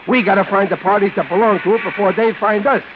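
A man's voice: high voice, fairly even intonation, fast, background noise, natural voice. An actor playing an ordinary, nervous wisecracking guy in a 1940s radio crime drama, with an American accent and slangy, stammering delivery. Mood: anxious. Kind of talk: 1940s radio acting, hardboiled first-person narration. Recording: tinny old radio broadcast with loud music.

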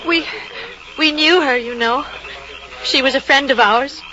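A woman's voice: high voice, a little slow. An actress playing a timid, shaken woman, anxious, faltering and pleading, in an old radio drama. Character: timid, shaken, fretful female friend. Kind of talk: 1940s-50s radio drama acting. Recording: muffled, noisy old radio recording.

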